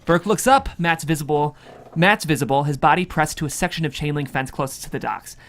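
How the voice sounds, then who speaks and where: A narrator delivers scripted stage directions from a thriller screenplay. The voice sits at a middling pitch and carries the action as dramatic narration. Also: a little fast, a bit bright.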